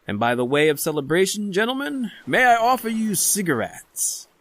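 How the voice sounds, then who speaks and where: An actor playing a worldly, self-possessed convict doctor and murderer, narrating a radio horror drama in an eloquent, formal, theatrical manner. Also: male voice, high-pitched, sing-song, normal speed, fairly bright tone. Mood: suave, celebratory. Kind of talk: old-time radio horror drama acting, scripted narration.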